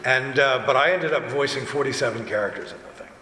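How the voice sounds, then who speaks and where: A man speaking casually as an audio drama director on a panel, telling stories in an animated way and acting out quoted remarks. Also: medium pitch, fast talker. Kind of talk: casual panel talk with anecdotes. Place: large indoor convention panel room. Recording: echoey convention hall with background noise.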